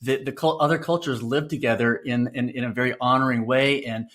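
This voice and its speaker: A man's voice: mid-range voice, fairly even intonation, brisk, fairly bright tone. A middle-aged man co-hosting a podcast, chatting casually and agreeing often. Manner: agreeable, frequent "yeah" backchannels. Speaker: podcast co-host. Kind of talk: casual chat.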